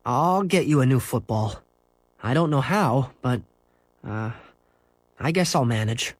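A man's voice: medium pitch, lively intonation, a little slow, dry recording. A man reading aloud voices an earnest young boy who sounds apologetic and a little defensive. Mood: hopeful.

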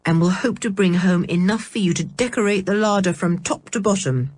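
A woman's voice: mid-range voice, darkish tone, no echo, close to an everyday voice. A woman voicing a cheeky schoolboy who teases and jokes. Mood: teasing. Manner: jokey, quick and teasing.

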